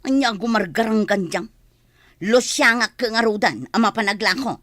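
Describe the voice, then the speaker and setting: A woman with an Ilocano accent playing a sharp-tongued, exasperated wife who scolds and answers back in a radio drama. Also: a little high, animated, moderate pace, no room sound, clean studio sound. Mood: exasperated, scolding. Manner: scolding, retorting. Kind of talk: Filipino radio drama acting.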